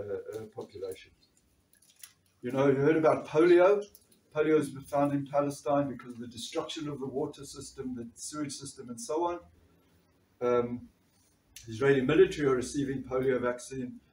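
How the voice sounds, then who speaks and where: A man's voice: medium pitch, a little mellow, distant mic, a little hiss or hum. South African man speaking in an echoey room. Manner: earnest, reads figures from notes, frequent restarts. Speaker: doctor and panel speaker. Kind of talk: public talk at a meeting. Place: bright meeting room, standing before a panel table. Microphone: far camera.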